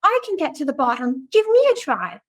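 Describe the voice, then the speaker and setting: Woman doing a tiny muskrat, squeaky high voice, small and brave, storybook. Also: huge pitch swings, fairly quick, fairly bright tone, full character voice. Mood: pleading.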